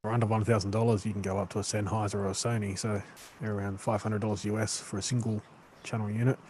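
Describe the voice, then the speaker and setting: Fast-talking male tech panelist. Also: fairly low pitch, little pitch movement, a little mellow, a little hiss or hum. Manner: quick, practical, with fillers. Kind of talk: casual expert advice.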